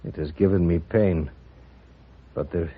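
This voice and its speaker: Casting: an earnest, dignified rancher in an old radio western, deep and deliberate. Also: male voice, fairly even intonation, slightly rough. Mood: solemn, moved. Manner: earnest, solemn. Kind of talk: 1950s radio western acting. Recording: dull, slightly noisy old radio recording.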